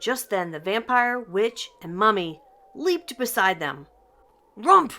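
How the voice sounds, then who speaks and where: An older woman reading a children's picture book aloud in an expressive, deliberate storytelling manner. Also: medium pitch. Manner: slow, deliberate, animated delivery for children. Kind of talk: expressive scripted read-aloud narration.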